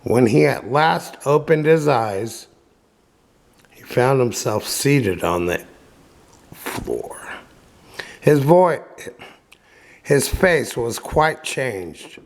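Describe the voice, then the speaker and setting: A man reading a children's story aloud as narrator, with deliberate dramatic pauses. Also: medium pitch, animated, gravelly. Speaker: audiobook reader.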